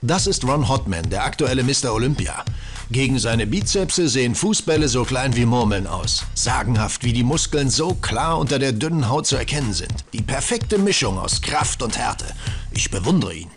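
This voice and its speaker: An actor playing an eager, boastful bodybuilder in a computer adventure game, speaking with enthusiastic admiration. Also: male voice, medium pitch, very animated, normal speed. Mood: admiring. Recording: game audio with faint music behind.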